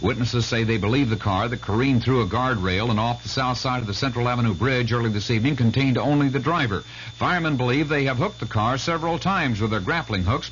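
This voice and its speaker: A man with an American accent reading a scripted local news bulletin as a radio newscaster. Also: medium pitch. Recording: muffled 1970s radio, faint hum.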